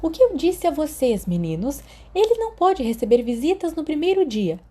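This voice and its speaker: A Brazilian woman voicing a caring, gently scolding school nurse in a storybook reading. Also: high voice, sing-song, normal speed, voice pushed up.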